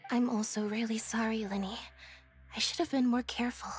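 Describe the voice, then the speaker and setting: Woman voicing a calm, reserved, higher-pitched young woman. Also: a little fast, soft background music, close to an everyday voice. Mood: gentle, reasoning. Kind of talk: scripted video game voice acting.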